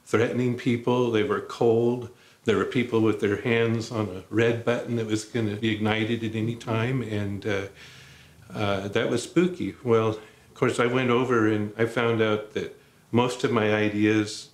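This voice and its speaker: Older man, roomy, low voice. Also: flat delivery, measured pace. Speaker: interviewee. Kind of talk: documentary interview.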